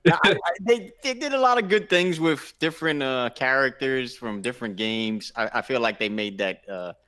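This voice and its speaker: A man's voice: mid-range voice, expressive, moderate pace, bright, forceful tone, clear, resonant tone. A man co-hosting a podcast, chatting casually and informally. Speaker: podcast co-host. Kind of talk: casual chat.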